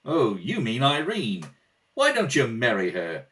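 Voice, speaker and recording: A man's voice: mid-range voice, wildly swooping pitch, unhurried, fairly bright tone, recorded from a distance. A man acting out a blunt, jovial wealthy friend who teases and needles, in an amateur radio play read-through. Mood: teasing.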